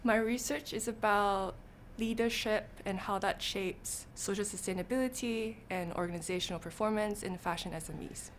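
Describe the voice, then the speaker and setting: A young woman being interviewed for a documentary. She talks in a relaxed, easygoing way at an ordinary middle pitch, often pausing and reaching for filler words as she thinks. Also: slight background noise. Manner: relaxed, hesitant, many fillers. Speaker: student interviewee.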